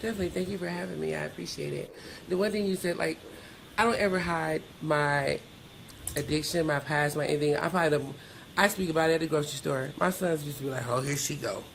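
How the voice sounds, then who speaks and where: A woman's voice: deep voice, quick pace, vocal fry, slight background noise, low-quality recording. A middle-aged woman from Georgia in the United States, speaking candidly as a podcast guest in short, punchy sentences. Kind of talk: candid conversational storytelling.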